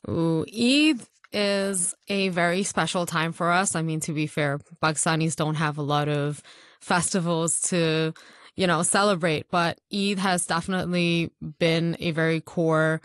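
A woman's voice: fairly low pitch, steady tone, unhurried, no echo, clean studio sound. A woman from Hong Kong chatting casually as an interview guest.

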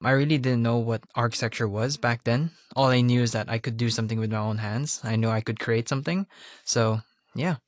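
Relaxed young man answering, dry sound. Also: medium pitch, brisk, dry recording. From Hong Kong. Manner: relaxed, rambling with fillers. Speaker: interview guest. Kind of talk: casual interview answers.

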